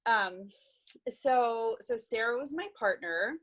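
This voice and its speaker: A woman's voice: on the high side, lively intonation, slow, a bit bright. A woman on a video call recounting a workshop conversation, earnest and hesitant with many restarts. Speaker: workshop participant. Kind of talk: casual recap on a video call.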